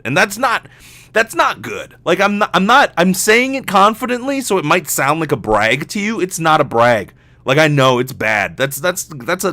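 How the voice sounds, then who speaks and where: A man's voice: medium pitch, sharp tone, no echo. A man hosting a solo podcast in a casual, rambling, self-deprecating and joking way, swearing freely. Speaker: podcast host, voice actor.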